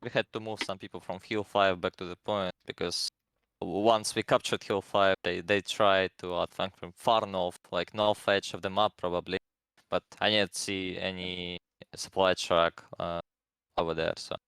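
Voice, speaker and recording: Man, low pitch, hesitant explanatory gamer, interview chat, dry close sound. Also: little pitch movement, normal speed, a bit bright, smooth, no room sound, low-quality recording. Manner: hesitant, explanatory, non-native phrasing. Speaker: competitive gaming team player being interviewed. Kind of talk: casual post-match interview.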